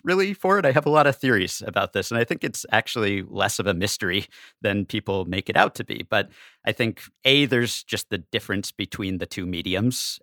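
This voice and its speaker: A man with a slightly low voice talks as a podcast guest. He is casual and analytical, with a wry humor. The sound is close and free of room echo. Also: a bit bright.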